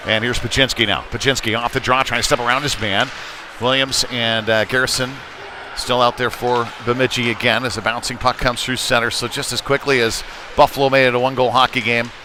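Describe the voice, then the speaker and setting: A man's voice: medium pitch, fairly quick. Male hockey play-by-play commentator over rink noise. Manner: excited surges on big plays, conversational analysis between. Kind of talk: live sports commentary. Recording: noisy rink ambience behind live commentary. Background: busy ice rink noise.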